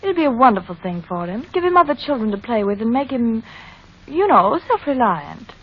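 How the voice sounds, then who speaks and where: An actress playing a cool, persuasive, discontented wife in an old-time radio drama. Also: female voice, a little high, very animated, fairly quick, breathy edge. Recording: dull old radio recording.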